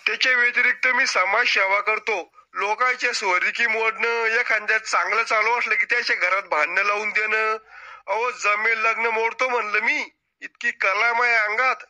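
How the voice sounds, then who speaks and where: A man's voice: fairly even intonation, moderate pace, pushed and bright. A man voicing a cunning, troublemaking old village grandfather in a cartoon, talking in a blunt, rustic, boastful way. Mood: scheming. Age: older. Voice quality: high squeaky cartoon voice. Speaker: game show contestant. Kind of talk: cartoon comedy acting.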